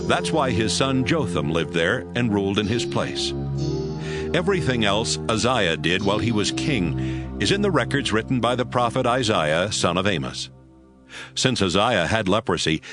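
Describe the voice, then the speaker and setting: Low-pitched male scripture narrator, expressive intonation. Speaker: audio Bible narrator. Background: music.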